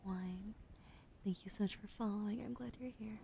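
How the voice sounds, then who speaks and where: A woman streaming ASMR, whispering softly to her chat and slowly spelling out follower names, now and then swearing. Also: medium pitch, fairly even intonation, gravelly, slight background noise. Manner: slow and gentle, swears and laughs in between. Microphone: studio mic.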